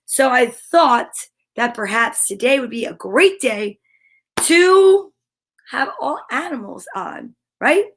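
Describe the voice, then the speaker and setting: Upbeat lady doing kids story time, kinda high voice, gushy and encouraging, chats to the viewers. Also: a little hiss or hum. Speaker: host of a children's story time show. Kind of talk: live read-aloud mixed with chatty asides to viewers.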